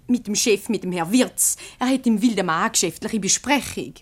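An actress playing a prim, self-righteous office busybody in a Swiss German radio comedy. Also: female voice, medium pitch, normal speed, lightly acted.

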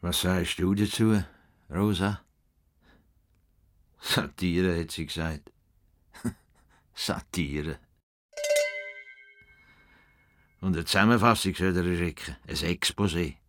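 Earnest, stubborn Swiss farmer. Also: male voice, sits low, measured pace, long pauses, fairly bright tone. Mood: indignant muttering. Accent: Swiss German. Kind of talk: radio play dialogue.

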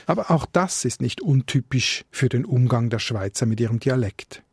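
A man with a Swiss German accent delivers a first-person radio report in a husky voice. The recording is dry and close, and his tone is wry and self-ironic. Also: mid-range voice, animated, normal speed, a little mellow, no room sound.

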